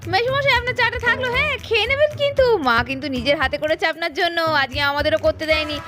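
Woman playing an extremely high, excitable daughter-in-law. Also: very fast. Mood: polite, bright. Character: anxious, dutiful elder daughter-in-law, excitable and polite. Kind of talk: Bengali puppet cartoon narration and dialogue.

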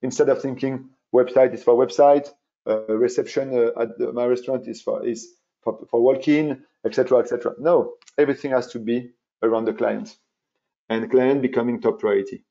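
A man's voice: medium pitch, fairly even intonation, a little fast, vocal fry, warm tone. Man giving a marketing webinar. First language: French. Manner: repetitive, emphatic, with frequent fillers and restarts. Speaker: webinar presenter, marketing consultant. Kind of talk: presenting a slide webinar. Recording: dull, muffled webinar audio.

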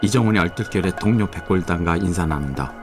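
A man narrating a radio drama in a steady, descriptive way. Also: sits low, fast talker. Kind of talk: scripted narration. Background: background music.

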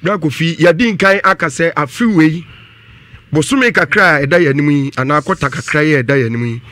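A man's voice: fairly high pitch, a little fast, sharp tone. A middle-aged man giving earnest, emphatic commentary on a radio panel, repeating phrases for weight. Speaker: studio panelist. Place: small indoor radio studio. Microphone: studio desk microphone.

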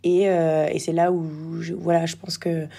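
A woman in her twenties from Normandy, answering casually in an interview with hesitant, thoughtful pauses. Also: lowish voice, little pitch movement, creaky, mellow. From Normandy, France. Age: 20s. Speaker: podcast guest, former athlete and entrepreneur.

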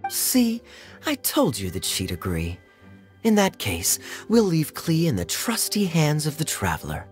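An actor playing a suave, teasing young knight with a smooth, wry drawl in an anime-style game. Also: male voice, on the high side, huge pitch swings, unhurried, faint music behind. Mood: pleased.

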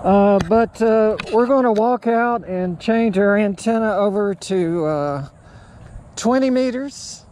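An American man in his sixties chatting casually and haltingly as he narrates an outdoor vlog. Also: high voice, darkish tone. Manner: halting, unhurried. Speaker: amateur radio operator and vlogger. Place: outdoors at a beachside park. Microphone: handheld action camera or phone at arm's length.

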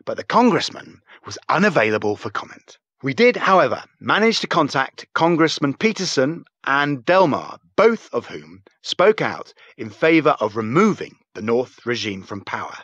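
A serious TV news anchor for a video game. He reads scripted bulletins formally and with measured emphasis. His pitch moves around a great deal, and the sound is very dry. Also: big pitch swings, fairly bright tone, no echo, dull audio. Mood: grave, formal.

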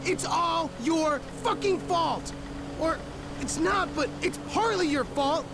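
Whiny young american slacker son for a game, high voice, slangy, car noise behind. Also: male voice, slow, exaggerated voice. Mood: aggrieved. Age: young adult. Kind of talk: video game acting. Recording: clear vehicle noise behind.

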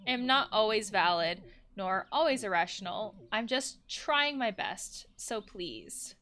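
A woman's voice: a little high, pushed and bright. A woman voicing an anxious, needy guard wolf from a visual novel game, dramatic and pleading, prone to alarm.

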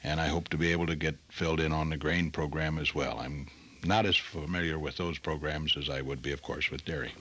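Deep voiced midwest farm guy in his 40s, earnest interview answers, lots of uh. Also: fairly even intonation, sharp tone. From Indiana. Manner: earnest, halting with fillers. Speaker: farm organization vice president.

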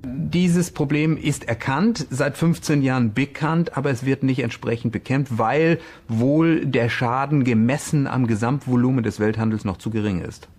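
A man gives expert commentary in an interview, unscripted and somewhat halting. His voice sits at an ordinary middle pitch. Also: darkish tone.

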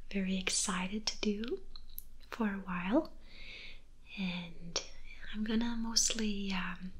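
A Danish woman in her twenties, whose first language is Danish, softly whispering answers to viewer questions in an ASMR video from her bedroom. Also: medium pitch. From Denmark. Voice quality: breathy whisper. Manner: soft, slow, unhurried whispering. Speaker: ASMR creator. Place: bedroom, indoors.

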